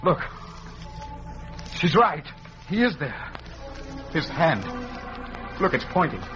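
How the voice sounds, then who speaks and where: An actor playing an earnest, gullible, easily shocked companion to a detective in a 1940s radio drama. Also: male voice, a little high, very animated, takes it slowly, pushed and bright, soft background music, exaggerated voice. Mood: alarmed. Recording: dull 1940s radio recording.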